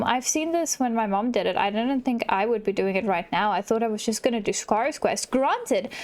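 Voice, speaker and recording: A woman hosting a gaming video with chatty, giggly, self-mocking commentary. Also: a little high. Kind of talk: casual let's play commentary, reading game dialogue aloud.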